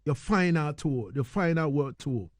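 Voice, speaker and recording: A man's voice: on the high side, expressive, unhurried, no room sound, studio quality. A man hosting a casual radio interview, effusive and slangy, often repeating his phrases. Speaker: radio host interviewing a musician.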